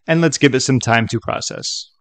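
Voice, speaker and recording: A man narrating a software tutorial in a casual, conversational way with chatty asides. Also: mid-range voice, moderate pace, dry recording.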